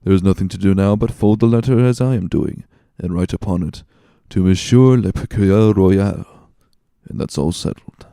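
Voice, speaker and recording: A man voicing a scheming, smooth, calculating villain in a dramatic reading, sly and emphatic. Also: deep voice, normal speed, dark tone, no echo, studio quality. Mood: smug.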